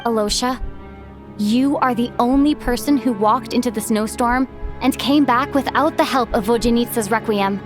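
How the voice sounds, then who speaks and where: A determined young female detective played by a woman lays out her reasoning deliberately in a fairly high voice. It is earnest, dramatic game acting, with faint music underneath. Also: natural voice.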